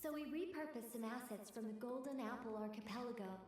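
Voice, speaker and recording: Woman voicing a chatty young game gal, bit high, explainy, huge echo, faint music. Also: a little fast, darkish tone, lightly acted. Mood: amused. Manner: conversational, explanatory. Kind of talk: video game voice acting. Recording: very echoey hall reverb, faint music.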